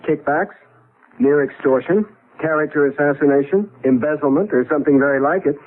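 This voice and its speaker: Actor as an earnest husband on tinny vintage radio. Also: male voice, fairly high pitch, lightly acted. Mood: wry, intrigued. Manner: earnest, halting, emphatic. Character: bewildered, wry husband stranded in the past. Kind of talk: 1970s radio drama acting. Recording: tinny, band-limited vintage radio recording.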